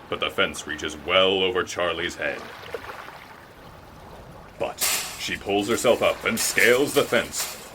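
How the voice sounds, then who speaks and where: Low-pitched genial drama narrator, played by a man. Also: background noise. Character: genial storytelling male radio-drama narrator. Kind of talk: scripted radio drama narration.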